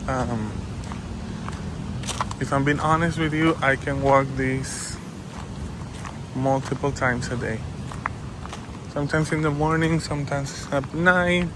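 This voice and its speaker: Un hombre joven de Venezuela, con acento venezolano, charla de forma franca y relajada mientras camina al aire libre y se corrige a menudo. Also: male voice, fairly high pitch. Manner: chatty and candid, with frequent restarts. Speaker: vlogger. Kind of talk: casual vlog chat. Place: outdoors on a walk. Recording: outdoor noise with passing traffic.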